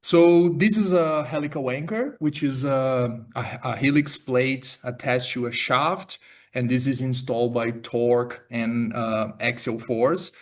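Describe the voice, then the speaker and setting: Brazilian man giving a flat-toned lecture. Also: medium pitch, a little slow. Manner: relaxed, many fillers and restarts. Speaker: research fellow presenting a webinar talk. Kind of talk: academic presentation. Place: lecture theatre.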